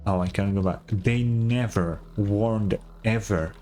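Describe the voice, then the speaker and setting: A man's voice: on the low side, slow, warm tone, faint music behind. A man giving casual, exclamatory gameplay commentary, swearing now and then. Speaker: gamer, video host. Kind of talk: casual gameplay commentary, reading on-screen dialogue aloud.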